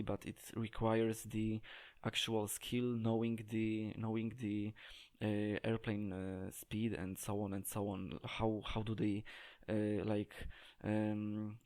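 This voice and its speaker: Low, flat-voiced man explaining haltingly. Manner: hesitant, halting, searching for words. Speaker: game tutorial presenter. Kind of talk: unscripted gameplay tutorial commentary.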